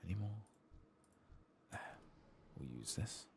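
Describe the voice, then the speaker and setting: A man doing soft-spoken, partly whispered ASMR game commentary, murmuring to himself in an understated way. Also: low voice, big pitch swings, slow pace, vocal fry, slight background noise.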